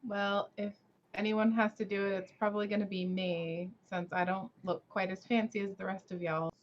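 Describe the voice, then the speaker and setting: A woman's voice: mid-range voice, flat delivery, moderate pace. A woman playing a tabletop roleplaying game, speaking cautiously and practically. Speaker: player. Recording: dull, lo-fi sound with faint noise.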